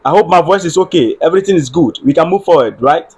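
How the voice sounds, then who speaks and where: A man's voice: on the high side, animated, fast talker, a little mellow, breathy edge. A man with a Ghanaian accent giving earnest political commentary on a live stream, repeating phrases and checking with viewers that his audio works. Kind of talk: solo livestream political commentary.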